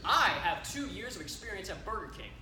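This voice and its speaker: Young actor as fast, wisecracking survival-guide presenter, male. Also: high voice, sing-song. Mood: joking. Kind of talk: comic stage presenting. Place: live stage in a hall. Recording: echoey, noisy stage recording.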